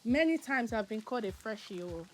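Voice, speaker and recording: Expressive Yoruba-speaking woman. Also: fairly high pitch, a little fast, soft background music, poor audio. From Nigeria. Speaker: documentary interviewee. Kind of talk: interview storytelling.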